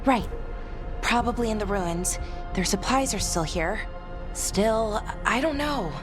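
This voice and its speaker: A woman voicing an anxious, earnest young elf adventurer in a video game, halting and quick to blame herself. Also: medium pitch, animated. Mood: wary, hesitant. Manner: halting, hesitant, repeats herself. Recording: game music behind the voice.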